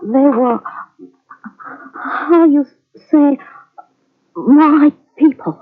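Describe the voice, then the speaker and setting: A frightened young island woman; high, slow, halting broken English; tinny radio transcription. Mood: hesitant. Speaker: radio drama actress. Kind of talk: 1940s radio acting.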